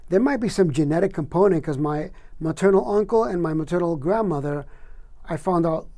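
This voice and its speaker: A middle-aged man from Cuba talks as a guest in an interview, his voice a bit higher but mellow and dark. He answers easily, tossing in wry jokes. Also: expressive, a little fast. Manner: wry, joking, easygoing. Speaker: guest poet.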